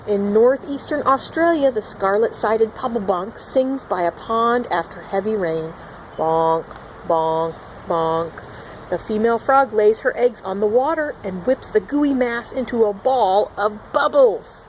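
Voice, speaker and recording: A woman's voice: medium pitch, animated, a little slow, a little hiss or hum, poor audio. A woman reading a picture book aloud to young children as a teacher, expressively, with simple asides and acted-out sound words.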